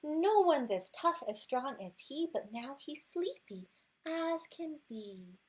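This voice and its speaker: A woman reading a rhyming children's picture book aloud expressively, unhurried, with hushed moments and little asides. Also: sits high. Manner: expressive, unhurried, drops to hushed whispers at good nights. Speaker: storytime reader.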